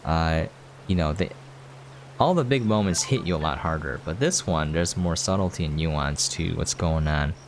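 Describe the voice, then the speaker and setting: Low-voiced man casually commenting on a game. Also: moderate pace. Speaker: gaming video host. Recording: clear background noise.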